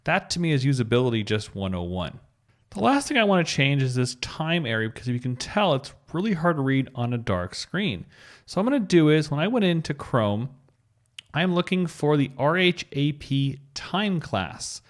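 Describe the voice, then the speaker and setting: Man teaching, with very lively intonation. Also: fairly high pitch, moderate pace. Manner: instructional, spells out code letter by letter. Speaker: web design tutorial host. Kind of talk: screencast coding tutorial, explanatory narration.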